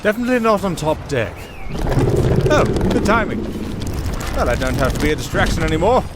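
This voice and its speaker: Roguish young musician played by a man, higher-pitched, wry and glib, fantasy roleplay with loud background ambience. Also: very animated, brisk, heavy background noise. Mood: wry, scheming. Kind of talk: fantasy audio roleplay acting.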